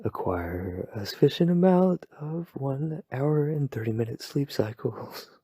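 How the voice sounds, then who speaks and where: Guy whispering a bedtime ramble, super breathy and a bit gravelly, dry close sound. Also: medium pitch, expressive, a little slow, no room sound. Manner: soft, rambling, self-deprecating, playful. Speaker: ASMR boyfriend roleplay creator. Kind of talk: whispered sleep-aid rambling. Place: home at night.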